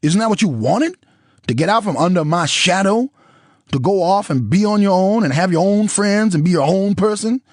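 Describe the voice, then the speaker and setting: Tearful pleading woman, voiced by a male reader, husky tone, lively swings. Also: normal speed, no echo, studio quality. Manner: high, teary, apologetic. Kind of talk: audiobook character voice.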